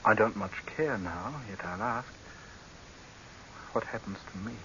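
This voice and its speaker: Tense, bitter doctor, vintage radio. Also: male voice, fairly low pitch, a little slow, a bit bright. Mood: weary, resigned. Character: resigned, bitter male doctor, would-be assassin. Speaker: radio drama actor. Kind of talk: 1930s radio acting. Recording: muffled, hissy 1930s radio recording.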